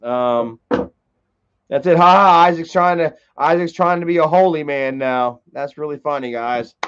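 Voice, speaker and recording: High-voiced profane man, rambling story. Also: normal speed, a bit bright, clean tone. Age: 30s. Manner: profane, rambling, lots of fillers and repeats. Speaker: livestreamer. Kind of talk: casual rambling storytelling on a livestream. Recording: dull lo-fi stream audio.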